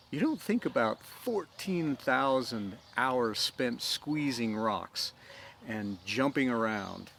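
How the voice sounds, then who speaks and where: A man reading a story and giving an inspirational message, deliberate, with emphatic pauses. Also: mid-range voice, vocal fry, fairly bright tone, a little hiss or hum. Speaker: storyteller host.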